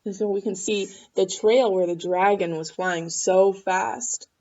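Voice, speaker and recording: A woman's voice: mid-range voice, steady tone, unhurried, darkish tone, dull audio. A woman reading a picture book aloud to children expressively, with asides and questions for the listeners. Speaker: storybook reader.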